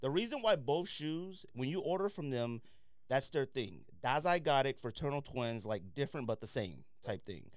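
A man's voice: mid-range voice, big pitch swings, normal speed, dry recording, clean studio sound. A man hosting a podcast, giving casual sneaker reviews in an opinionated, slangy way and repeating points for emphasis. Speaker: podcast host.

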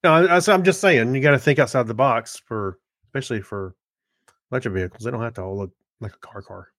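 A male co-host in relaxed podcast conversation. He speaks at an average male pitch in a plain-spoken, casual manner, recorded dry with little room sound. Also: quick pace, no room sound. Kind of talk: casual chat.

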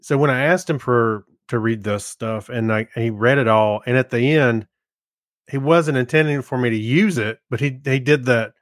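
A man's voice: mid-range voice, normal speed, dry recording, clean studio sound. A man co-hosting a podcast in casual, conversational chat. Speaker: podcast co-host.

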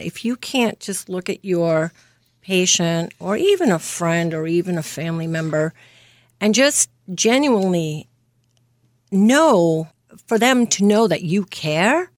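A woman in her fifties from Greece, a nurse practitioner telling her story as an interview guest, earnest and quick to laugh. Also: on the low side, no room sound, studio quality. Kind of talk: casual interview.

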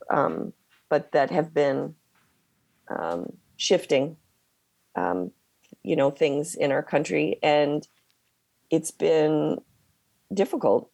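A woman co-hosting a podcast, hoarse from losing her voice, talking in a halting, hesitant way with many pauses. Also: low voice, dark tone, no room sound. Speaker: podcast co-host. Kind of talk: casual conversation.

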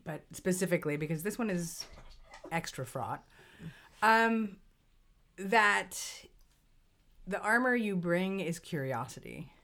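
A woman's voice: lowish voice, takes it slowly, long pauses. A woman co-hosting a casual conversation, thinking aloud and posing questions. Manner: thoughtful, poses questions, lively emphasis. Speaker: podcast co-host.

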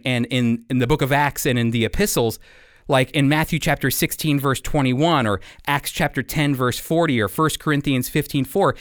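A man with a fairly high, clean voice teaches scripture as a program host. He explains step by step and turns to the listener with rhetorical questions. The sound is dry, with little room around him. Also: no echo. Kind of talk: expository Bible teaching.

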